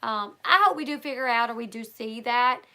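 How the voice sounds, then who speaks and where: A hoarse woman hosting a live stream, reading a book aloud and talking loosely with her chat. Her voice sits a little high and has a gravelly fry to it, and her tone is bright with an edge. She thinks out loud as she goes, with plenty of ums and restarts. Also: brisk. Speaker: livestream host.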